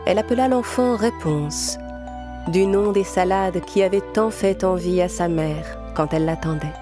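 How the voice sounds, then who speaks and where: A woman's voice: fairly low pitch, moderate pace. A woman reading a scripted fairy tale as a storyteller. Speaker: narrator. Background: music.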